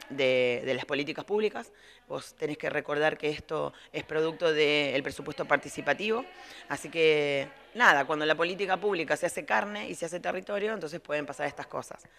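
A middle-aged woman with an Argentine accent answering interview questions as a school director, explaining things in a halting way as she rephrases. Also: sits low, creaky, a bit bright. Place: large indoor playroom. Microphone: handheld reporter microphone.

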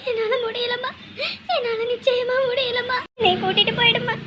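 A woman's voice: very high-pitched, flat delivery, measured pace. A woman voicing a sick young girl who cries and pleads for her dead mother, in a one-person family skit. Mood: pleading. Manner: pleading, sobbing. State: crying.

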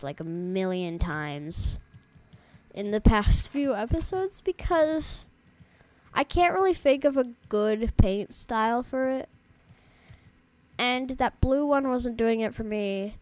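Woman let's-play host, relaxed slow delivery, chatty and rambling, slightly off voice from allergies. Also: a little high. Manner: chatty, rambling, talks to viewers as "guys". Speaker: gaming YouTuber. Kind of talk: casual let's-play commentary.

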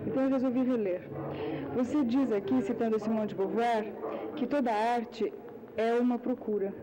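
A woman reporter asking an interview question in an earnest, deliberate way. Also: a little high, steady tone, crowd noise, poor audio.

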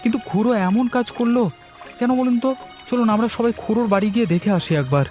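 A man voicing a curious young fisherman, high voice. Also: lively intonation, quick pace, dark tone, soft background music. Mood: puzzled. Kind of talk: Bengali cartoon voice acting.

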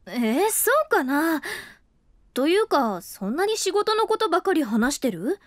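A voice actress playing an overworked office woman who talks in a frank, expressive and flustered way, and also narrates the story. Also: high-pitched, unhurried, no echo, studio quality. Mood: chatty, flustered. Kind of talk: voice drama acting with inner narration. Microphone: close studio mic.

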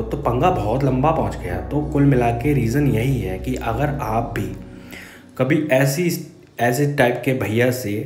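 A young man narrating a casual vlog, warning viewers in an earnest tone while talking into his phone. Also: lowish voice. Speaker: vlogger. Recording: echoey with loud music behind.

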